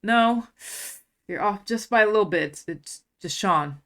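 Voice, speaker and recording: A woman's voice: medium pitch, expressive, unhurried, fairly bright tone. A woman hosting a casual chat, retelling moments by acting out what was said. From USA. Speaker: host.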